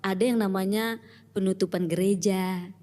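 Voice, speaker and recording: A middle-aged woman with a Batak Indonesian accent, a church pastoral worker speaking in a relaxed, reflective interview manner with many pauses and fillers. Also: mid-range voice, in a large hall. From Batak, North Sumatra, Indonesia. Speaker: church pastoral team member, guest. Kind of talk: casual interview talk.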